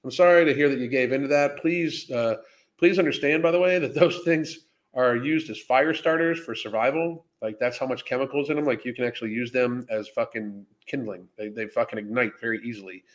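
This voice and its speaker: Male livestream host, medium pitch. Casual, off-the-cuff delivery, blunt and foul-mouthed. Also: a little fast. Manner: blunt, heavily profane. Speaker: live Q&A host. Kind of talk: casual unscripted livestream Q&A, reading and answering viewer questions.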